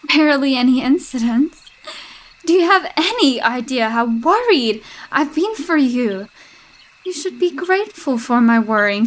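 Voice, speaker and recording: A young woman voicing a possessive, jealous girlfriend with a sinister streak, sweet-talking and coaxing in a roleplay audio drama. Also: sits high. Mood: obsessively worried. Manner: sweet-talking, coaxing, with unsettling laughs and hushed asides.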